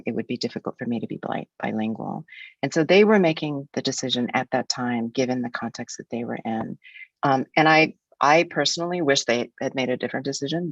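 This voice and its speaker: A woman's voice: rumbling low, dry recording, muffled recording. A woman in her sixties from the United States, a guest speaker in an academic webinar, talking in a frank, reflective way with some restarts.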